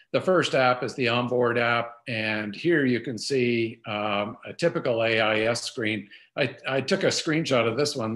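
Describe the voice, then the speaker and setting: A man's voice: medium pitch, fairly bright tone. An older man giving an informal, explanatory webinar product demonstration over a video call. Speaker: presenter.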